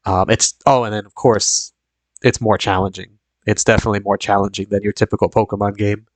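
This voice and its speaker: A man's voice: lowish voice, little pitch movement, a little fast, dry recording. A young man with a sniffly, congested voice from a cold, chatting casually as a live-stream host in a rambling way full of fillers and restarts.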